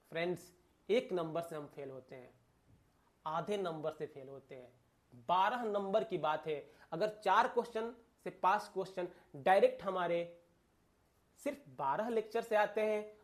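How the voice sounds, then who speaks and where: This is a man with a noticeably high-pitched voice, giving an informal lecture to exam students. He speaks emphatically, circles back to key phrases and keeps addressing his listeners directly, mixing in English terms as he teaches. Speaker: exam coaching teacher and video host.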